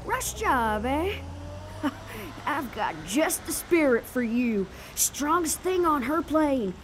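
An actress playing an eccentric, brassy 1930s spirit medium in an audio drama, slangy, excitable and wisecracking. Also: female voice, high-pitched, slow pace, slight background noise, exaggerated voice. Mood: businesslike. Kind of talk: period audio drama acting.

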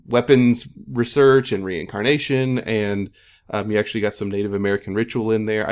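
A man moderating a casual panel interview, asking meandering, tentative questions and correcting himself as he goes. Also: fairly low pitch.

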